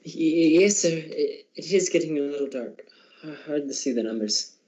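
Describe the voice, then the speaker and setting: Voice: medium pitch, normal speed, darkish tone, lightly acted, poor audio. A performer playing a timid, deferential male clerk in a radio drama, meek and stammering. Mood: nervous, deferential.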